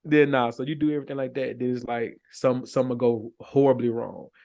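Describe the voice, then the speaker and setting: A man's voice: medium pitch, steady tone, fairly quick, gravelly, mellow. Candid, colloquial American man chatting. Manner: candid, colloquial, repetitive phrasing. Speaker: podcast guest. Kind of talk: casual chat.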